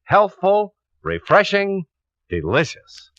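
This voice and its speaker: A man's voice: high-pitched, huge pitch swings, slow pace, loud music behind the voice. A man announcing sponsor copy in polished, emphatic 1950s radio commercial style. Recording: dull vintage radio recording.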